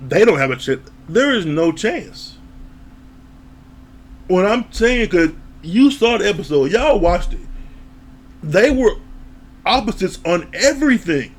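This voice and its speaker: A man giving a casual, animated recap of a reality TV episode, laughing, swearing, and acting out what people said. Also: sits high, measured pace, pauses often. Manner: animated, laughs, acts out quoted lines, swears. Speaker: reality TV reviewer.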